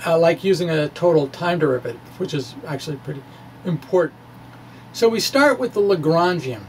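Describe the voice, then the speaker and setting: A middle-aged man with a fairly high voice lectures informally. He talks haltingly and hesitantly, slipping in wry asides as he explains. There is noticeable background noise in the recording. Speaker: physics blogger and lecturer. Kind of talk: informal physics lecture with derivations. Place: small indoor room with bookshelves. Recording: clear steady background noise.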